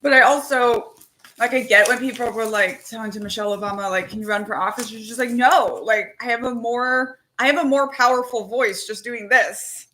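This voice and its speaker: A woman talking casually and animatedly as host, with quick asides, laughs and the odd swear word. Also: a little high, bright, forceful tone, a little hiss or hum, low-quality recording. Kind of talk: casual chat to camera.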